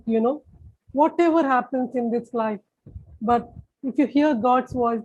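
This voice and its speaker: A woman with an Indian accent giving a personal religious testimony as an interview guest, with halting, repetitive phrasing. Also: high-pitched, dark tone, breathy edge, dull audio.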